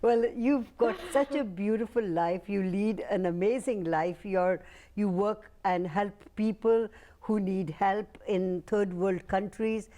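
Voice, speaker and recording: A woman's voice: medium pitch, slow pace, mellow. A woman hosting an interview show, asking questions in an admiring, encouraging way. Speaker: talk show host.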